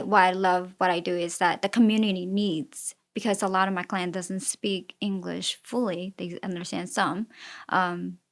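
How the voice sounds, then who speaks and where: A woman who is a native Chinese speaker, answering interview questions as a real estate agent in an earnest, halting way. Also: fairly low pitch. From immigrated with a Chinese-speaking family. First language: Chinese (Mandarin and Fujianese).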